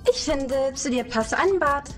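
A woman's voice: high-pitched, measured pace, soft background music. A woman playing a teasing, jealous virtual taxi assistant in an amateur audio play.

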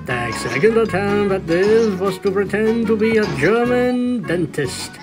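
Slow-paced male storyteller, slightly high voice. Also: expressive, music in the background. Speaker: fanfiction reader, narrator. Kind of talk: scripted storybook narration.

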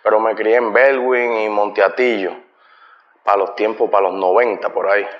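A middle-aged man with a Puerto Rican Spanish accent talks easily as an interview guest. His voice sits at an ordinary pitch and sounds dull and lo-fi. It also carries a long reverb, picked up by a camera across a bright living room. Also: steady tone, normal speed. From Puerto Rico. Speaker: guest, musician. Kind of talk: casual interview.

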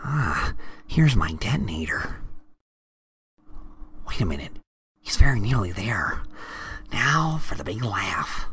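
An actor playing a scheming, gloating gangster boss with a theatrical, gravelly delivery in an audio drama. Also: male voice, on the low side, very animated, slow pace, pauses often, bright, forceful tone, a little hiss or hum, full character voice. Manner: theatrical, muttering to himself.

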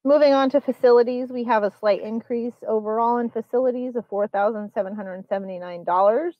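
A woman presenting a school budget at a public hearing, explaining line items and figures in a measured, explanatory way. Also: fairly high pitch, no room sound, dull audio. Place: public meeting.

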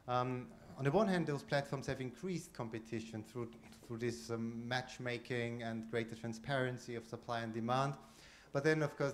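A man's voice: mid-range voice, slight background noise. A man in his forties from Austria, speaking as a panelist in a conference room, restarting phrases often. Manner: speaks with frequent restarts and fillers, gestures while talking. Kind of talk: roundtable remarks. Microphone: handheld microphone.

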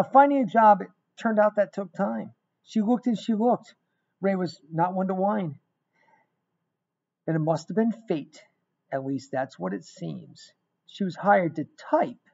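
A middle-aged man reading a rhyming picture book aloud in an expressive, emphatic storytelling manner. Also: high-pitched, a little slow, vocal fry. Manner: emphatic, dramatic storytelling with repeated phrases. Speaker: guest reader of a children's book. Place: small indoor room. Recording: dull, lo-fi webcam sound.

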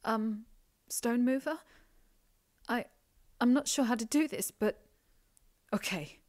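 A woman's voice: a little high, slow pace, darkish tone. A woman playing a timid, anxious young female dragon in an audio drama, hesitant and faltering. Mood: nervous, hesitant. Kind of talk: audio drama character acting.

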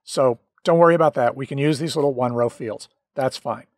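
A middle-aged man talking through a software tutorial, with relaxed explanations and dry little jokes. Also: mid-range voice, fairly quick, no room sound. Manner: relaxed, dryly joking explanations. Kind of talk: screen-recorded software tutorial.

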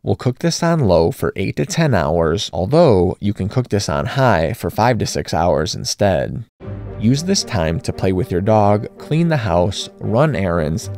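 Deep gravelly man narrating a recipe. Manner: steady instructional narration. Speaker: cooking channel host. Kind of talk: scripted recipe voice-over.

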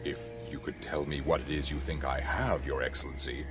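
A man playing a scheming imperial governor in a sci-fi audio drama, formal and measured with an oily courtesy. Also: very deep voice, flat delivery. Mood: deferential, guarded. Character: scheming imperial governor, outwardly deferential, secretly mutinous. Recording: film music behind the voice.

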